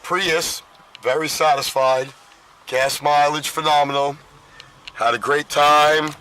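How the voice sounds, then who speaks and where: A man in his fifties with a hoarse voice, chatting casually and in a folksy way as a vlogger. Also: on the high side, fairly even intonation, takes it slowly, sharp tone. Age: 50s. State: hoarse, losing voice. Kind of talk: casual vlog chat. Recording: noisy car and outdoor ambience.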